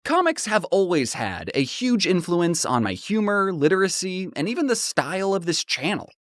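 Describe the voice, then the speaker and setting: A man narrating his own comedic story in an animated, playfully sarcastic way, like a scripted online video. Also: sits high, moderate pace, dry recording, clean studio sound.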